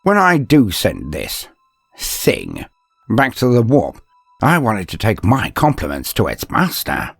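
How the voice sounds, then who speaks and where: A man's voice: on the low side, wildly swooping pitch, measured pace, gravelly, slightly rough, no echo, pitched above the performer's own voice, full character voice. A man voicing an eccentric old inquisitor, a gleefully vindictive master speaking with theatrical menace.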